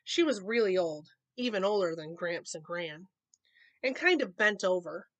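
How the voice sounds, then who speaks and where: A woman reading a picture book aloud to children, expressive, with casual explanatory asides. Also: fairly low pitch, normal speed. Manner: expressive, explaining to young listeners.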